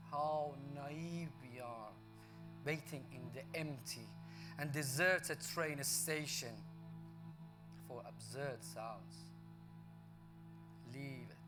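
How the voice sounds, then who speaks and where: A man recites poetry slowly at a concert, his phrases lingering and dropping softly at the end of each line. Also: a little high. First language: Persian. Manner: measured, lingering phrases, soft drop at line ends. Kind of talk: slow spoken-word poetry recital over music. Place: concert hall. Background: instrumental music.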